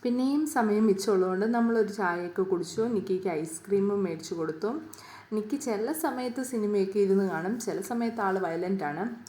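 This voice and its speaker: A woman's voice: medium pitch, moderate pace, mellow. Malayali woman narrating a casual daily vlog. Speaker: vlogger.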